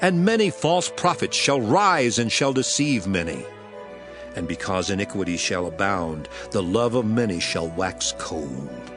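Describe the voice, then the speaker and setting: Authoritative prophet, low voice, lively intonation, solemn, measured, declamatory, scripture drama. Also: male voice. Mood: grave, warning. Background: background music.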